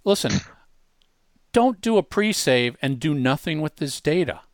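Man giving an informal software tutorial. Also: fairly high pitch, big pitch swings, unhurried, dry recording, clean studio sound. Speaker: presenter demonstrating software.

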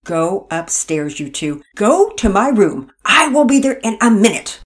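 A woman reading a storybook, voicing an irritable mother who talks loudly and huffily. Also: medium pitch, animated, moderate pace, pitched above the performer's own voice, close to an everyday voice. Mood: irritated. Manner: loud, huffy, rising to tearful. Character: exasperated mother, quick to anger.

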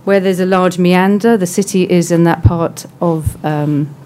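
A soft-spoken woman giving a measured conference presentation with frequent pauses. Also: lowish voice, fairly even intonation, a little hiss or hum. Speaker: conference speaker. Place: conference hall.

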